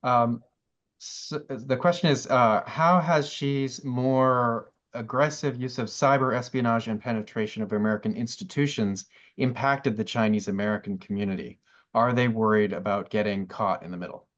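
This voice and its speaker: A man with an average pitch reads out a question, hesitating at the start. His audio sounds dull and muffled, like a remote connection. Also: moderate pace. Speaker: audience member asking a question.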